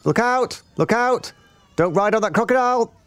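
A man's voice: sits high, animated, moderate pace, fairly bright tone, no room sound, voice pushed up. A man voicing a roly-poly storybook bird, singing out urgent warnings in a sing-song call. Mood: urgent, alarmed.

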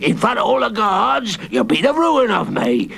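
A man voicing a blustering fanged villain jailer with a soft heart and a raspy voice, in a storybook reading. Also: high-pitched, huge pitch swings, moderate pace, pitched above the performer's own voice, exaggerated voice. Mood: indignant. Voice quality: raspy, gravelly. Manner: blustery, stammering.

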